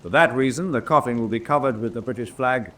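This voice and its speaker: A solemn senior naval officer in a radio drama played by a male actor, addressing his men in formal, measured statements. His voice sits at a middling pitch, over faint background noise. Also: a bit bright, close to an everyday voice. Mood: grave, formal. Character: grave senior German naval officer, prisoner of war. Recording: noisy drama track with ambience.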